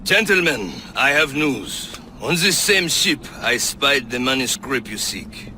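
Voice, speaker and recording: An actor playing a brisk, worldly colonial-era man in a video game, speaking in a confident, declarative way. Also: mid-range voice, expressive, pushed and bright, slight background noise. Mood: informative. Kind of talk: dramatic video game cutscene acting.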